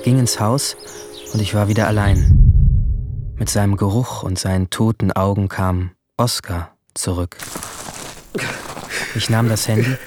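A man's voice: fairly low pitch, fairly even intonation, unhurried, long pauses, background noise, natural voice. An actor narrating as a withdrawn, brooding 17-year-old boy in a radio drama, subdued and introspective. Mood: detached, bitter. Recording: music behind the voice.

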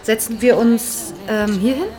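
A woman's voice: fairly high pitch, steady tone, measured pace, lightly acted. An actress playing a caring, polite doctor in naturalistic audio drama dialogue, attentive and solicitous, with music behind her. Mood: surprised, amused. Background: loud music.

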